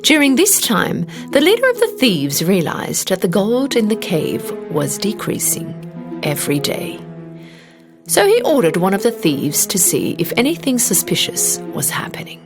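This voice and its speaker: A woman reading a scripted children's fairy tale as an expressive storybook narrator. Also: medium pitch, moderate pace, music in the background.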